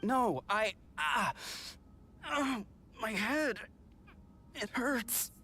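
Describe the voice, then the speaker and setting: A very slow, flustered knight. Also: female voice, on the high side, expressive, sharp tone, a little hiss or hum, heavily acted. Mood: confused, pained. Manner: halting, hesitant. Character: flustered, confused knight in pain. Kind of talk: video game voice acting.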